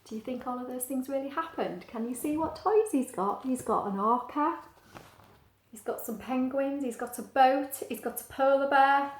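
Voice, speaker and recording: A woman reading a picture book aloud to young children, explaining things simply and adding chatty asides. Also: fairly high pitch. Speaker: story reader for young children. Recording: echoey, roomy sound.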